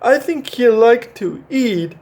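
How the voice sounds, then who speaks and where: A man reads as an imaginative little storybook boy, playful and earnest. The pitch is raised and the words come slowly and haltingly. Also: expressive, a little mellow, full character voice.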